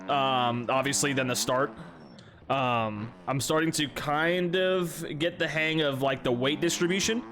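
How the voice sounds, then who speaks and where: A man's voice: mid-range voice, a little hiss or hum. A young man casually commenting on his own game replays, congested and sniffling, rambling with lots of fillers and restarts. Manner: rambling, many fillers and restarts, relaxed swearing. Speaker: gaming youtuber.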